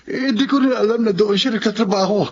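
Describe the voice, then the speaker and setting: A man's voice: high-pitched, fast talker. A man acting a hesitant, good-hearted young son and reluctant thief with a Filipino accent, earnest, in a radio drama. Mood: apologetic. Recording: dull lo-fi audio, faint noise.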